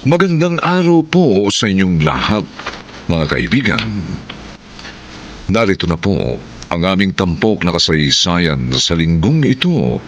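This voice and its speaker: A man's voice: sits low, very animated, unhurried, long pauses. A man announcing a radio drama in a formal, theatrical, scripted style. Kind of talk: scripted dramatic programme introduction. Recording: muffled broadcast, faint background noise.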